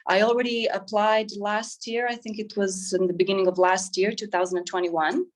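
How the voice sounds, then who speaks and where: A woman's voice: medium pitch, steady tone, moderate pace. A woman, explaining herself reflectively as an artist in an informal interview. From Croatia. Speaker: interview guest, painter. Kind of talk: informal interview, describing own work.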